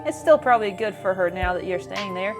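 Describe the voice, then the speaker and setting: A woman's voice: medium pitch, expressive, brisk, over music, natural voice. A woman reading the lines of a chatty, friendly small-town young woman in a video game, with a folksy, easygoing manner. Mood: easygoing candor.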